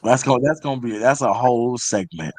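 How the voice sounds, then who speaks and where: A man's voice: mid-range voice, brisk, background noise. A man in his thirties hosting a casual, opinionated panel talk show, speaking bluntly and emphatically and often repeating phrases for weight.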